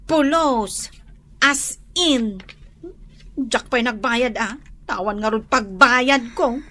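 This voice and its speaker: A woman's voice: a little high, slow pace, a bit bright. A woman playing an evasive young woman who dodges paying her debts, in a radio drama. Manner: evasive, defensive.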